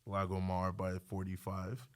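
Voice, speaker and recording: Low-voiced man in casual conversation. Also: monotone, a little slow. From Nigeria. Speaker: doctor, podcast guest. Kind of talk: casual interview chat.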